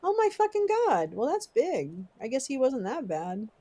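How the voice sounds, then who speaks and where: A young woman hosting a casual livestream, chatting with viewers, swearing and laughing often, her voice wearing hoarse. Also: high voice, wildly swooping pitch, normal speed, dark tone. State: losing her voice. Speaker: livestream host. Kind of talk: casual livestream chat reading viewer comments.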